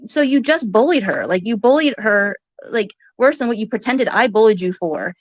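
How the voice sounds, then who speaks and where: A woman's voice: fairly high pitch, animated, normal speed, no room sound. A woman in a heated, informal argument, talking in an accusatory, rambling way and sometimes swearing. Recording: muffled lo-fi audio.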